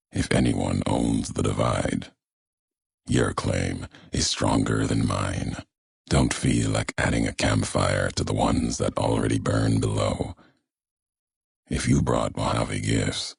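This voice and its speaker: An actor playing a grave, brooding, vengeful wasteland courier in a video game, speaking in clipped, solemn, philosophical monologue with a gravelly, husky voice. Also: male voice, rumbling low, a bit bright, a little hiss or hum, exaggerated voice. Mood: brooding, resolute. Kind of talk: video game voice acting, slow portentous monologue.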